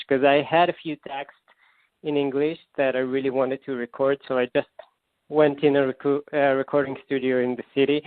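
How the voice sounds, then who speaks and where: A man from Israel with an Israeli accent, a singer-songwriter answering interview questions in halting English with many fillers and restarts; Hebrew is his first language. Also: medium pitch, dry recording. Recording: tinny phone line, dry.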